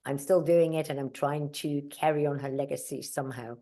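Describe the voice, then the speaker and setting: A woman's voice: sits low, steady tone, fairly quick, warm tone. A middle-aged woman whose first language is German, telling stories in a casual interview with many fillers and asides. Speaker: mountaineer and guest.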